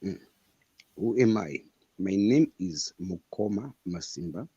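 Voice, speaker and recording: A man's voice: low voice, lively intonation, unhurried, mellow, breathy edge, no room sound, lo-fi sound. A man in his thirties from Zimbabwe, a guest author answering interview questions in an earnest, emphatic way and repeating his points. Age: 30s.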